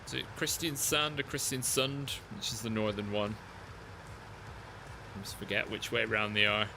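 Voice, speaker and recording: A man chatting casually with his live-stream chat while playing a driving game, relaxed and talkative. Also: on the low side, normal speed, vocal fry, background noise.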